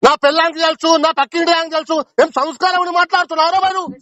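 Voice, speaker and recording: Furious caller, man, rapid-fire confrontational, bright voice over a muffled line. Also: very high voice, steady tone, no echo. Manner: angry and confrontational. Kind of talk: heated phone argument. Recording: muffled phone call.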